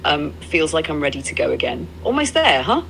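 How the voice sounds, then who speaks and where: A woman chatting casually as a supportive, upbeat co-driver companion, with a slightly creaky voice. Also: deep voice, big pitch swings, a little fast, fairly bright tone, slight background noise, low-quality recording. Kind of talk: casual encouraging chat.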